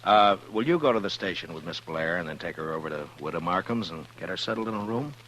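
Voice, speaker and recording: An actor playing a steady, protective frontier marshal in a 1950s radio western, with a measured, understated delivery. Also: male voice, low voice. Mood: evasive, gentle. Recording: noisy 1950s radio recording with hum.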